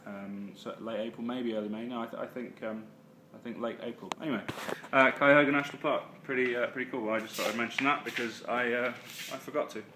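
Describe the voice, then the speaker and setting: A man's voice: medium pitch, steady tone, fast talker, few pauses, noisy background. A man casually recapping his travels for a vlog, full of ums and uhs and self-corrections. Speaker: travel vlogger. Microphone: phone or handheld camera.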